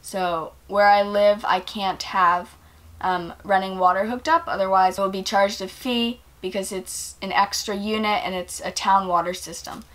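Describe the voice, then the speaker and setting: A woman in her early twenties talks to a camera set in front of her, in a small room. Her speech is relaxed and loose, full of ums and likes, and it wanders as she answers viewer questions. Her pitch sits mid-range for a woman. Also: normal speed. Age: 20s. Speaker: vlogger. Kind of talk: casual Q&A vlog. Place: small indoor room, bed behind.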